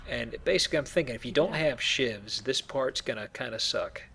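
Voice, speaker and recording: A man's voice: mid-range voice, animated, brisk, vocal fry, background noise. A man giving casual, rambling, explanatory commentary while playing a video game. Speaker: let's play host, playing the game.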